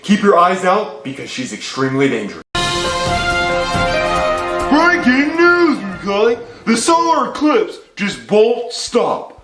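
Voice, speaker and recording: A man playing an excitable male breaking-news anchor in a comedy skit, delivering mock-serious bulletins with drawn-out words. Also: high voice, full character voice. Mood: mock urgent. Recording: roomy echo with faint music.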